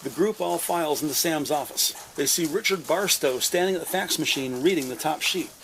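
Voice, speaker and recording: A man giving measured, descriptive present-tense narration for a scripted drama. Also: a little high, creaky, fairly bright tone, a little hiss or hum, rough recording.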